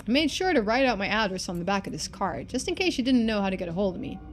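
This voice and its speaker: A woman's voice: medium pitch, very animated, fast, slight background noise, natural voice. Woman reading as a loving, folksy lonely father. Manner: warmly earnest, folksy. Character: loving, lonely middle-aged father writing to his daughter.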